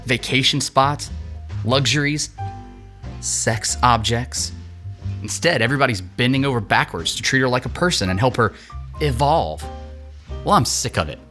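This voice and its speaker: A man's voice: mid-range voice, lively intonation, moderate pace, long pauses, fairly bright tone, soft background music, close to an everyday voice. A man playing a cocky, whiny, entitled young rich son in an audio drama, sarcastic and theatrical. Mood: sarcastic griping. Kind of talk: scripted audio drama acting.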